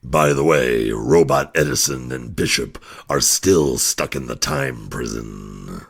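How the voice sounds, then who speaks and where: An actor playing a gruff, deadpan outlaw time traveler with a gravelly, husky, laconic delivery in a fan audio drama. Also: male voice, rumbling low, slow pace, full character voice.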